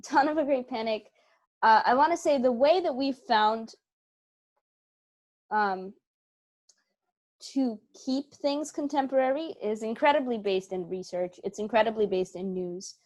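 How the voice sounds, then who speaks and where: A young adult woman, a theater performer, answering interview questions conversationally, explaining things in a measured way with pauses. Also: a little high, a little mellow.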